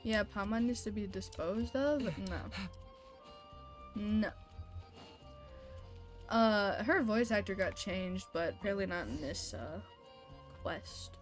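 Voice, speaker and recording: A woman's voice: mid-range voice, slow, pauses often, soft background music. A woman live-streaming a video game with casual commentary, playfully sarcastic as she talks back to chat and game.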